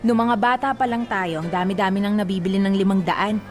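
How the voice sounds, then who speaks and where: A woman acts out a tough, nosy neighborhood wife in a radio drama. She talks in a chatty, emphatic way at a middle pitch, outspoken and protective of her friend. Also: steady tone, fairly quick, faint music behind, lightly acted. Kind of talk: Filipino radio drama acting.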